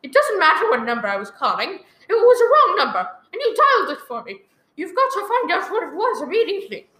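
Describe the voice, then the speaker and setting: A woman's voice: very high voice, big pitch swings, fast talker, pushed and bright, full character voice. A woman playing a nervous, demanding invalid wife in a melodramatic radio play. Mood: exasperated. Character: nervous, imperious invalid wife, shrill and frantic. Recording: echoey live room recording.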